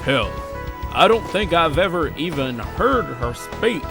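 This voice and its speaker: A man voicing a blunt, gossipy male high school classmate in a game story. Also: sing-song, measured pace, gravelly, exaggerated voice. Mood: incredulous. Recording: music behind the voice.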